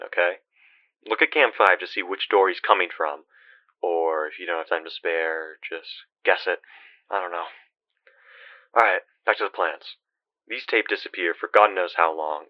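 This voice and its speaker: Low-voiced, desperate trapped man on a muffled call, acted. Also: dry recording, close to an everyday voice. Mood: weary, resigned. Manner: halting, weary, profane, confiding. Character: trapped dead man Peter, guilt-ridden, desperate older brother. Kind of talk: horror game phone-call voice acting.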